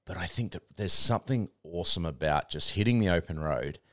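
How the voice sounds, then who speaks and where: A man's voice: deep voice, animated, fairly quick, a bit bright, dry recording, clean studio sound. A man co-hosting a podcast, chatting casually and often restarting or repeating words. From New Zealand. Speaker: podcast co-host, real estate trainer. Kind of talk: casual chat.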